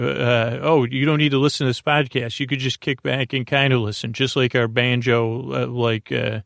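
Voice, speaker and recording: An American man hosting a bedtime podcast, rambling along in a halting, meandering way and often trailing off mid-thought. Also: medium pitch, gravelly, dry recording, studio quality. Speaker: host of a bedtime story podcast.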